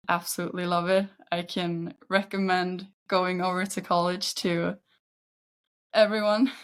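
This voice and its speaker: A woman in her thirties speaks English with a Swedish accent, in a voice set a little low. She talks in a casual interview style, telling things in her own words as a guest. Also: clean tone. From Sweden. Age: 30s. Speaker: podcast guest, football agent.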